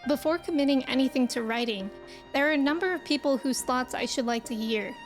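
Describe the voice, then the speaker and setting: A woman plays a courteous young fantasy-game nobleman with a high voice. He speaks earnestly in formal, old-fashioned phrases over faint background music. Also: a little fast, lightly acted.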